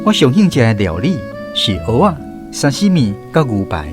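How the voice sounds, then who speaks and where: A man with a Taiwanese accent tells a scripted story for radio. His pitch moves in a lively way as he narrates, and soft guitar music sits faintly behind him. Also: moderate pace.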